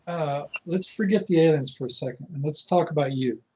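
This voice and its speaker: High-voiced male interview host. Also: fairly quick, darkish tone, breathy edge. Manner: encouraging, agreeable. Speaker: podcast host. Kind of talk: casual interview.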